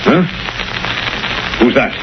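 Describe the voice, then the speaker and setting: An actor playing a gruff, self-assured male police commissioner in an old radio drama style. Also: very slow. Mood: startled. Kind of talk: 1940s radio acting. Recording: muffled, noisy old radio recording.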